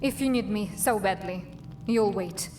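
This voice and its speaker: A woman playing a wry, guarded dark elf assassin in a fantasy game, dry and sardonic in her delivery. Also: mid-range voice, measured pace, music in the background, lightly acted. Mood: firm, guarded. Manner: dry, sardonic, muttering to herself. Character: wry, guarded female drow assassin adventurer. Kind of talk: acted fantasy game dialogue and monologue.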